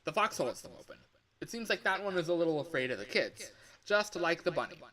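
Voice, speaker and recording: A man's voice: fairly high pitch, sing-song, fairly quick, pitched above the performer's own voice, poor audio. A man voicing an upbeat, chatty male office worker briefing a night guard in a scripted game monologue. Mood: chatty, cautioning. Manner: loud, exclamatory greetings, instructional. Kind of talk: scripted video game phone-call monologue.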